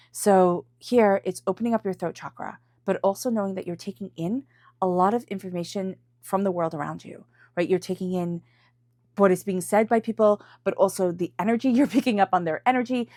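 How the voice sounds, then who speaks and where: A woman's voice: fairly low pitch, darkish tone, dry recording, clean studio sound. A woman with a stuffy, congested voice from a cold, giving an intuitive tarot reading to viewers and repeating phrases for emphasis. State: has a head cold. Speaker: tarot reader.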